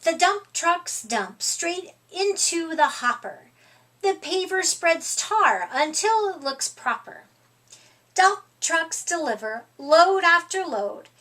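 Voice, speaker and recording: A middle-aged woman reading a picture book aloud to young children in an expressive, deliberate, emphatic way. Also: sits high. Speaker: storytime reader. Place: small furnished room indoors.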